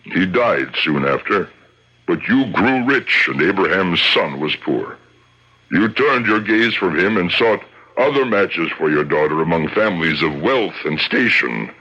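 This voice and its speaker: Casting: stern religious judge and exorcist, slow measured declamation, bright forceful tone, radio play. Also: male voice, low-pitched, lively intonation, husky, exaggerated voice. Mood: solemn. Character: solemn, commanding rabbi judge conducting a tribunal and exorcism. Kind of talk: theatrical radio drama acting. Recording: dull lo-fi old radio recording.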